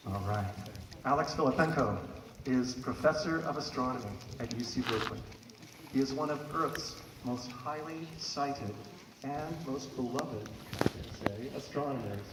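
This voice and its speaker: A man hosting an outdoor evening event, reading a measured, dryly witty introduction of the guest speaker. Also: medium pitch, noisy background. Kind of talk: scripted formal introduction. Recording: echoey, distant phone recording.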